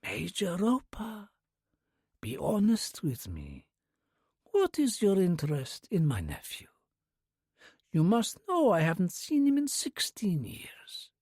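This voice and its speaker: A narrator voicing a soft-spoken elderly Russian widow with a Russian accent, half-whispering, calm and shrewd. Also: mid-range voice, slightly rough, no room sound, studio quality, pitched above the performer's own voice, heavily acted. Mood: probing. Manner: quiet, slow and measured. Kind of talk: audiobook character voice.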